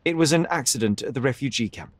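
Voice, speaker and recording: An actor playing a young detective in a video game, measured, dry and probing. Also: male voice, medium pitch, expressive, dry recording, close to an everyday voice. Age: young adult. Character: cool, wry young male detective. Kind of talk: video game cutscene acting.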